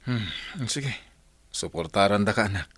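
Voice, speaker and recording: A man's voice: lowish voice, lively intonation, moderate pace, a bit bright. A man playing a devoted, earnest father in an Ilocano village radio drama. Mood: tender, concerned. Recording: music under the voice.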